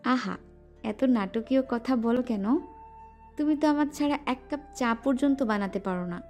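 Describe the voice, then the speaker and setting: A woman playing a playful, affectionate young wife in a romantic audio drama, teasing and pouting, with soft music underneath. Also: a little high, moderate pace, natural voice.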